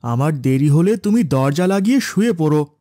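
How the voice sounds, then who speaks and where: A man's voice: fairly high pitch, expressive, normal speed, mellow, no room sound, clean studio sound, natural voice. A man playing an honest village van driver and worried father, polite and folksy, in a horror cartoon. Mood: weary, worried. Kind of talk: Bengali horror cartoon dialogue.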